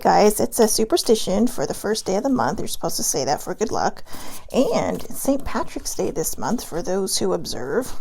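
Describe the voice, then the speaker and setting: A bubbly woman raised in Hawaii talks casually from home, giggling and rambling. Her pitch swings up and down a lot, and a gravelly fry runs under her words. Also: darkish tone, slight background noise. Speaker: vlogger doing a card reading. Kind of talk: casual livestream chat.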